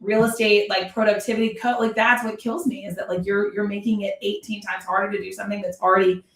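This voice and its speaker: A woman's voice: medium pitch, level tone, fast, far from the mic. A woman talking in a conversational podcast style as host and coach, explaining emphatically with examples and repeated phrases. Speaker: podcast host and real estate productivity coach. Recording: roomy echo, away from the mic.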